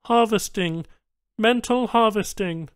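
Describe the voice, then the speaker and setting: A matter-of-fact alien technician, acted out by a male reader, pitched well up from a normal male register and very cleanly voiced. The phrases come out terse, stilted and broken, each one stated emphatically, as in a science fiction audiobook with a dry, close sound. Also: takes it slowly, clear, resonant tone, no room sound. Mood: emphatic. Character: matter-of-fact alien technician speaking halting, clipped foreign syntax.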